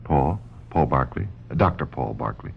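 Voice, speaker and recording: Very deep, gravelly psychiatrist. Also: male voice, sing-song, heavily acted. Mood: calm, probing. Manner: measured, probing, wry. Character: calm, probing male psychiatrist, wry and kindly. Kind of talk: old-time radio mystery acting. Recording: dull lo-fi radio recording, faint noise.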